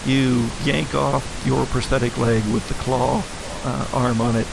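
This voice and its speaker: A man's voice: mid-range voice, little pitch movement, a little slow, creaky, noisy background. A man working as game master, improvising narration for a tabletop story.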